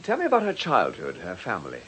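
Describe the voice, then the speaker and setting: A courteous, probing police inspector in a radio drama. His husky voice moves freely up and down as he questions politely, with a wry, dry wit. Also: low voice, wildly swooping pitch, fairly bright tone, heavily acted. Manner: measured questioning, polite, dryly witty.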